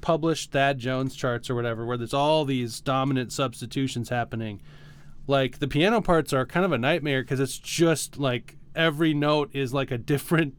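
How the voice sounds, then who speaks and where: A man's voice: mid-range voice, normal speed, smooth. A man co-hosting a podcast, talking casually and technically about music in an informal way peppered with "like" and "you know". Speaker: podcast co-host.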